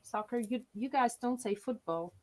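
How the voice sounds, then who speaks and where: A woman's voice: on the high side, normal speed, darkish tone. A young woman from Switzerland, a singer-songwriter chatting as a guest on a video-call interview, often restarting her sentences. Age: young adult. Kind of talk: casual interview chat.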